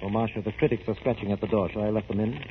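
An actor playing a suave, controlling older opera mentor in 1940s radio drama, measured and admonishing. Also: male voice, fairly low pitch, little pitch movement, close to an everyday voice. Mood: cool, admonishing. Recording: tinny, faintly noisy 1940s radio broadcast.

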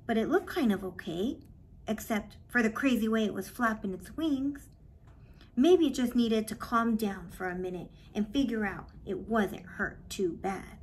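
A woman in her thirties reading a children's book aloud in a measured, expressive way, with pauses, in a small office. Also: on the high side, slightly rough, poor audio. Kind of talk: read-aloud storytelling.

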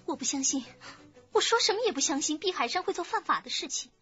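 A woman's voice: sits high, sing-song, quick pace, pushed and bright, highs cut off, soft background music, full character voice. An actress playing a nervous, defensive young woman being questioned in a radio drama. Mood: anxious, pleading. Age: young adult.

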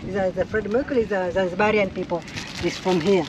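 A man chatting casually with visitors in halting, simple English. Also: high voice, steady tone. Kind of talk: casual chat. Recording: noisy outdoor street ambience.

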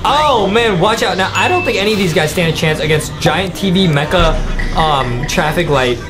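A young American man hosts a gaming video with a highly animated voice that jumps around in pitch. He is playful and slangy and repeats himself excitedly. Music plays behind him. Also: normal speed. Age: young adult. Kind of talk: excitable reaction commentary for children.

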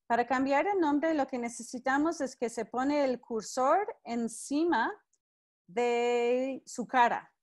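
Woman teacher, high voice, friendly and directive, video call audio. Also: slow. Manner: friendly, directive, calls participants by name. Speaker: teacher leading an online class. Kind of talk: live online class, guiding participants.

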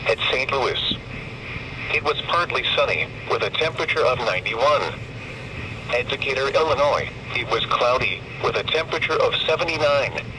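A synthetic man's voice reading a scripted weather broadcast in a measured, formulaic way, heard through a radio. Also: medium pitch. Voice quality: synthetic text-to-speech voice. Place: played over a handheld weather radio inside a car. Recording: noisy handheld radio speaker in a car.

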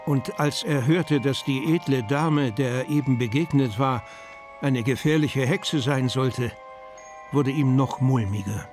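A man's voice: medium pitch, a little slow. Male storybook narrator over music. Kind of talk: scripted storybook narration for an audio drama.

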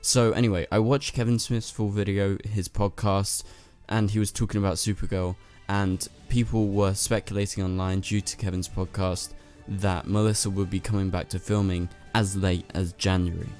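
A young man with a hoarse, worn-out voice, hosting a chatty commentary video and talking straight to his viewers. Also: sits low, level tone, moderate pace. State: lost his voice. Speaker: channel host. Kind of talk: casual commentary to camera. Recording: soft music underneath.

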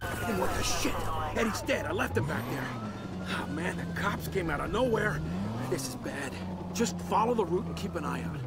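A man's voice: high voice, expressive, moderate pace, gravelly. A man playing a panicky, defensive young small-time crook in a video game, agitated and urgent. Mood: panicked, tense. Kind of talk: video game cinematic acting. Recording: loud music, car noise behind.

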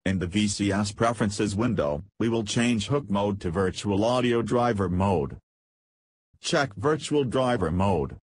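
Husky low-voiced man narrating a tutorial. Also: lively intonation, measured pace. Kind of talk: scripted step-by-step instructional narration.

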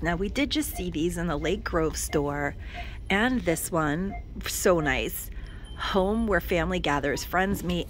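Woman, forties, enthusiastic chatty shopping vlog, phone camera, slightly low pitch, faint background noise. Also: a bit bright. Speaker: shopping vlogger. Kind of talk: casual shop-with-me narration. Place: inside a discount store, walking the aisles. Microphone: handheld phone camera.

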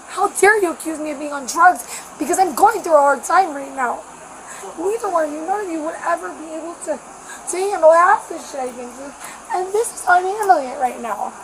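A woman's voice: very high-pitched, lively intonation, normal speed. A distressed, anxious middle-aged American woman pleading with police in a rambling, repetitive, protesting way. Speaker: member of the public stopped by police. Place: large grocery store aisle, indoors. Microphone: officer's body camera, a few feet away. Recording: noisy body-camera audio in a store.

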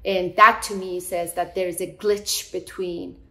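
Bright-voiced middle-aged woman therapist. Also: on the low side, level tone. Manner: measured, explanatory, gestures while talking. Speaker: psychotherapist guest. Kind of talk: earnest interview answers. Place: bright living room indoors. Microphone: lapel mic.

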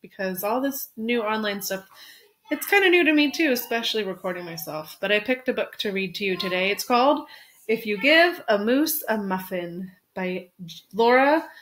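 A woman's voice: mid-range voice, lively intonation, moderate pace, fairly bright tone, poor audio. A woman in her thirties, an elementary school teacher speaking expressively and simply to children, as when reading a picture book. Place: small room at home. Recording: home webcam recording with faint noise.

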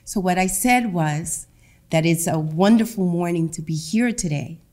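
A middle-aged woman from Honduras, a Garifuna speaker, giving a public talk from a lectern in a meeting room, with a slow, emphatic delivery. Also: on the low side, a little mellow. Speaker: guest speaker. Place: meeting room with a seated audience. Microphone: lectern microphone.

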